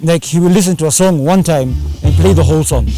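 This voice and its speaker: A man's voice: a little high, fast, mellow, faint music behind. A man chatting casually in an interview, rambling and slipping into Swahili slang. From Kenya. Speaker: band singer and drummer.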